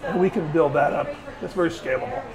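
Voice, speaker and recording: A middle-aged man, a winery owner, answering interview questions in a relaxed, chatty way with many small pauses, on a lapel mic in an indoor tasting room. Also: a little high, rough recording. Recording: lapel mic with busy crowd noise behind. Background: crowd chatter.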